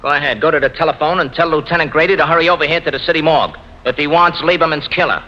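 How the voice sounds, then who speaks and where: An actor playing a brisk, crafty, commanding male detective in clipped 1950s radio drama style. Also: fairly high pitch, sharp tone. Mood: brisk triumph. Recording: dull, noisy old radio recording.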